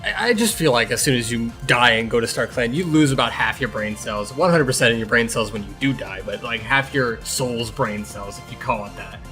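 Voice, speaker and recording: A man's voice: mid-range voice, a little fast, few pauses, bright, forceful tone, faint music behind, natural voice. A man voicing a snarky, exasperated blind medicine cat in an improvised fan Q&A, rambling sarcastically and swearing. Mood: sardonic. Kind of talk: improvised character Q&A in casual chat.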